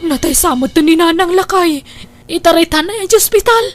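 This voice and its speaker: A woman's voice: extremely high pitch, lively intonation, a little fast, soft background music. A woman acting an insistent, emotive married daughter in an Ilocano radio drama. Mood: alarmed. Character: pushy, excitable married daughter wanting the inheritance.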